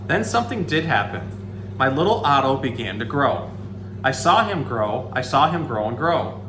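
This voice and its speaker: A man's voice: mid-range voice, big pitch swings, normal speed. A man hosts an animal storytime, explaining things in a casual, informal way. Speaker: animal educator and storytime host. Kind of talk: informal nature lesson and picture book read-aloud. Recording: echoey room, clear background noise.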